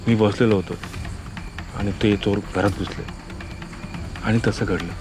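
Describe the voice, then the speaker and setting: A man's voice: steady tone, measured pace, lightly acted. An actor playing a sorrowful old village widower who recounts how he lost his wife, in a heavy, mournful way. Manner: low, heavy, mournful telling. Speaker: village man in a short drama. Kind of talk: drama monologue recounting the past. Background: music.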